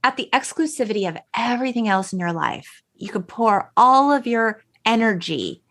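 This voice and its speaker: A woman hosting a podcast, chatting casually and retelling film dialogue in an animated way. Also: on the high side, moderate pace. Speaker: podcast host. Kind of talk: casual chat, recapping a film.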